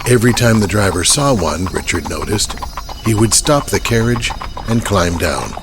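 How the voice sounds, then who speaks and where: A man's voice: on the low side, expressive, normal speed, background noise. A man narrating a horror audio drama in a dramatic, scripted storytelling style.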